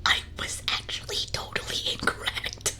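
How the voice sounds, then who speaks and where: A woman streamer reading aloud in a breathy ASMR whisper close to the microphone, with a playful, casual delivery. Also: normal speed, pushed and bright. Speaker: streamer host reading listener confessions.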